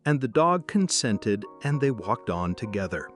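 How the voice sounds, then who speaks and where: A man's voice: on the low side, big pitch swings, measured pace, soft background music. A man reading scripted bedtime fairy-tale narration as a storyteller.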